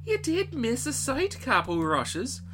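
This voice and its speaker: Guy playing gossipy storybook servant, high folksy voice, theatrical, noisy recording. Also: huge pitch swings, slow, pushed and bright, heavily acted. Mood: excited gossip. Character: gossipy, brisk household servants of a great house.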